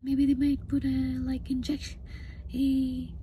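Woman, thirties, hoarse and breathy, very dark muted tone, flat intonation, upset, halting, casual vlog in a car. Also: high voice. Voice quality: hoarse, breathy, often breaking into whisper. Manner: halting, hesitant. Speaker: vlogger. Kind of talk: personal health update vlog, casual talk to camera. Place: inside a parked car. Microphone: phone at arm's length. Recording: faint background noise in car.

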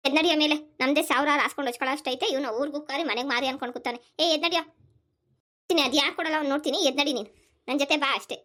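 Village mother for cartoon family comedy, played by a woman: high voice, very fast talker, sarcastic nag, exasperated. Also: pushed and bright. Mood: scolding, sarcastic. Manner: nagging, sarcastic, rapid-fire complaining. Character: scolding, exasperated village mother with a grown son.

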